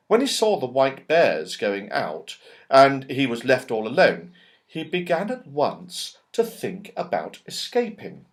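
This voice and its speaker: A man narrating a children's story as an audiobook, expressively, with dramatic emphasis and storyteller's phrasing. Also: mid-range voice.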